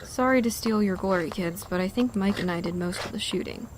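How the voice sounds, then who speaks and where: A woman's voice: mid-range voice, brisk, a little hiss or hum, close to an everyday voice. A woman playing a wry, self-assured park ranger in a zombie apocalypse fan audio drama, dry and confident.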